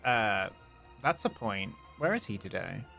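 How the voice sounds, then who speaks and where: Animated male game streamer, creaky voice. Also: fairly low pitch, a little slow. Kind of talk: live gameplay reactions and reading along. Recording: faint game music behind.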